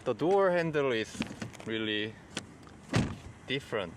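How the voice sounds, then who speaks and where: A man with a Korean accent, whose first language is Korean, gives a car review walkthrough in plain, halting phrases. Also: mid-range voice, expressive, heavy background noise. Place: in and around a car.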